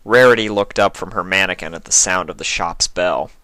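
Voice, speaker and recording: A man's voice: sits low, a little fast, bright, forceful tone, smooth, no room sound. A man reading scripted fan fiction as a narrator.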